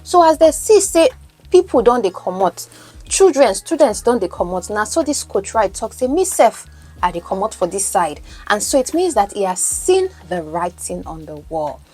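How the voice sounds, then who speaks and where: A woman's voice: mid-range voice, sing-song, moderate pace, mellow, breathy edge. A Nigerian woman whose first language is Yoruba, teaching English as a coach and podcast host, explaining and asking the listener questions. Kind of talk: teaching lesson, mixing in Pidgin.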